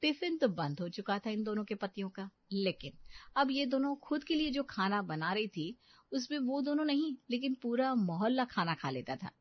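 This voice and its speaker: A woman's voice: fairly high pitch, sing-song, moderate pace, dry recording, dull audio. A woman reading scripted narration for a children's story.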